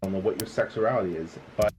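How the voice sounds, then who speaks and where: A man's voice: fairly low pitch, fast talker, darkish tone, slight background noise, poor audio. Lecturing man commentator. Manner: lecturing, matter-of-fact. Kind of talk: explanatory video commentary.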